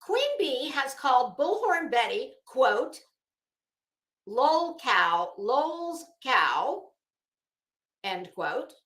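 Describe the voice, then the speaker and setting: A woman's voice: medium pitch, vocal fry, a bit bright, echoey room. A woman hosting a live stream, reading aloud dramatically with mocking, drily sardonic commentary.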